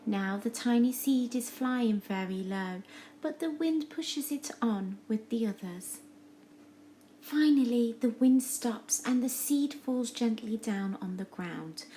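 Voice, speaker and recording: A woman reading a children's story aloud as a teacher would, with simple, deliberate and expressive phrasing. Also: fairly high pitch, a little hiss or hum.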